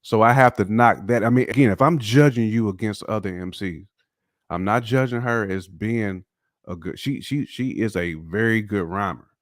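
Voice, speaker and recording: A man's voice: on the low side, moderate pace, no echo, studio quality. A man co-hosting a music podcast, giving laid-back opinions with lots of fillers and restarts. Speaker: podcast co-host. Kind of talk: casual opinion chat.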